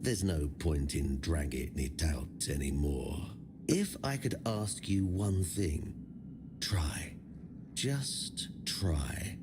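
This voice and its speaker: Very deep, brooding, haunted prisoner. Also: male voice, animated, background noise, full character voice. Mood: haunted, resigned. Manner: slow, brooding, theatrical, archaic phrasing. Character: weary, brooding male prisoner, haunted lone survivor of slaughter. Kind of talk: dark fantasy video game acting.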